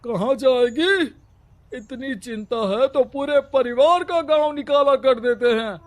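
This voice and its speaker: A man's voice: very high voice, measured pace. A man voicing a stern, domineering village headman in a cartoon story, speaking harshly and commandingly.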